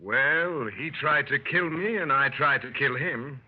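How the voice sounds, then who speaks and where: An actor playing a plucky gentleman adventurer, telling his exploits wryly in 1930s radio style. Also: medium pitch, unhurried, natural voice. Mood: wry storytelling. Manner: wry, animated storyteller. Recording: muffled 1930s radio broadcast.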